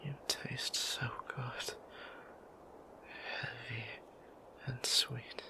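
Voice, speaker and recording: A man voicing a shy, tender vampire priest in an intimate romantic audio roleplay, speaking in a breathy, hesitant whisper with creaky edges. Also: mid-range voice, flat delivery, low-quality recording. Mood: yearning, tender. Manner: hesitant, halting, gentle. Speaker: voice actor.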